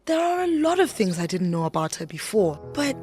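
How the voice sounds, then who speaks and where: A woman playing a compassionate but disapproving shopkeeper who is softening, in a radio drama. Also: mid-range voice, sing-song, brisk, faint music behind, natural voice. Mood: conflicted compassion.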